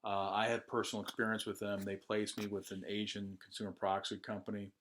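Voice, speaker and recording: Low-voiced American man, chatty and hesitant. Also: completely flat delivery, fairly bright tone, a little hiss or hum. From United States. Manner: conversational, with frequent "uh" and "um" pauses. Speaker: job candidate sharing a testimonial. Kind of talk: casual first-person testimonial.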